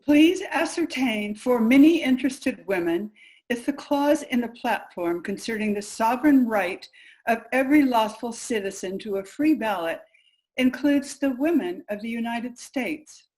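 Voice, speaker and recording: Woman acting as high-voiced resolute suffragist orator. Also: expressive, measured pace, darkish tone, poor audio. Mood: earnest, resolute. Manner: formal, emphatic oratory. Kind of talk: readers' theater recitation.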